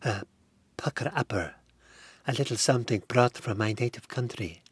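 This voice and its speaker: A man voicing a sultry, scheming woman who talks in a smooth, coaxing, unhurried way. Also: mid-range voice, voice pushed up.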